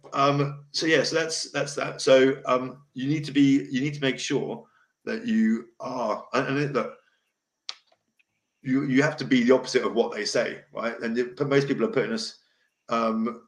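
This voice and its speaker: A middle-aged man with a deep voice hosts a casual livestream talk, blunt, emotional and rambling. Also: fairly even intonation, normal speed. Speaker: host. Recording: echoey room.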